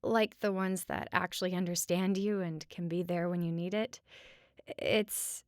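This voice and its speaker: A woman playing a sarcastic but caring roommate in a conversational audio roleplay, talking casually with wry teasing and hesitant pauses. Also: on the low side, steady tone, darkish tone, dry recording, clean studio sound, close to an everyday voice. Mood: gentle concern. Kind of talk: conversational comfort audio roleplay at regular speaking volume.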